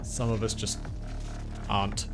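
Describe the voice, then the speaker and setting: A man with a flat, monotone voice, giving dry, self-deprecating commentary as he plays a game live. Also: fairly low pitch, unhurried. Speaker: streamer playing a shooter game. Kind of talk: live-streamed let's play with lore reading. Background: game music.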